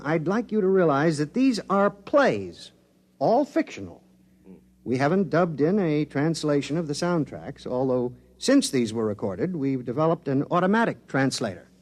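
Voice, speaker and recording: An actor playing an affable, evasive scientist and spokesman in a 1950s radio drama, explaining things conversationally. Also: fairly high pitch.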